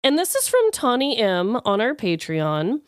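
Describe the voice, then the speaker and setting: A woman with a smooth, clear voice and very lively intonation co-hosts a casual podcast. She banters informally and reacts with emphasis. The recording is dry and close. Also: a little high, measured pace, dry recording. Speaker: podcast co-host.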